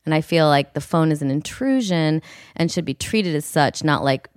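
A woman's voice: low-pitched, animated, normal speed, clear, resonant tone, no room sound, clean studio sound. An American woman co-hosting a podcast in casual conversation, candid and rambling, hedging as she goes. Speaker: podcast co-host.

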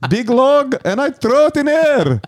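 A man's voice: very high-pitched, sing-song, a little slow, no echo, clean studio sound, voice pushed up, exaggerated voice. A man voicing a blunt, burly Finnish strongman who speaks in broken, clipped English. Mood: boastful.

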